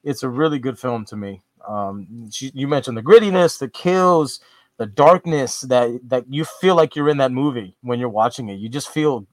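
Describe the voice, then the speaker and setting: Male co-host, mid-pitched, rambly, swears casually, dry close sound. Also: normal speed, dry recording. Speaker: podcast co-host. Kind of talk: casual movie review chat.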